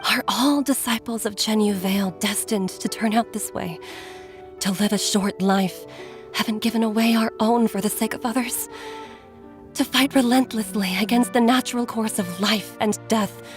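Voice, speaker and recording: A woman's voice: mid-range voice, lightly acted. A woman playing a weary, caring doctor and mother in a video game, with a wistful, earnest manner. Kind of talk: scripted video game voice acting.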